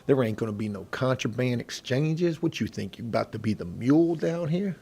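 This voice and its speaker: A man playing a middle-aged veteran inmate who bosses the prison kitchen, in an ASMR role play with a gravelly, husky voice and a folksy, intimidating manner. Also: mid-range voice, expressive, dark tone. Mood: boastful, stern. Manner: folksy, intimidating, drawn-out menacing delivery. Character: gruff, bossy veteran male prison inmate running the kitchen.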